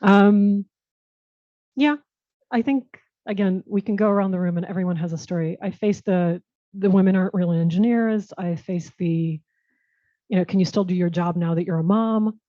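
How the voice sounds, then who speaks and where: A woman's voice: mid-range voice, normal speed, no echo. An American woman speaking as a guest at a Q&A, answering earnestly and reflectively, often restarting her phrases. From United States. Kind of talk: conversational answers at a panel Q&A. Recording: muffled dull sound.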